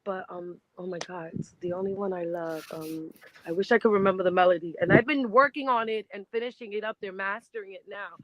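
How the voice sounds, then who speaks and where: A woman in her fifties, a singer being interviewed, chatting in an animated way and laughing easily. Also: medium pitch, a little fast, lo-fi sound, a little hiss or hum. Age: 50s. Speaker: guest singer. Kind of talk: casual interview chat.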